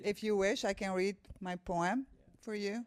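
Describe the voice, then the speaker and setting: A woman's voice: medium pitch, steady tone, measured pace, smooth, dry recording. Albanian-accented woman, a poet speaking publicly. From Kosovo. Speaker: visiting poet, guest speaker. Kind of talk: public talk and poetry reading. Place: room with a live audience.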